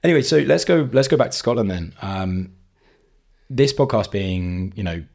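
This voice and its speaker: Hoarse male podcast host, lowish voice, friendly and rambling. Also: lively intonation, moderate pace, a little mellow. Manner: friendly, asks long rambling questions. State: hoarse, losing his voice. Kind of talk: casual interview chat.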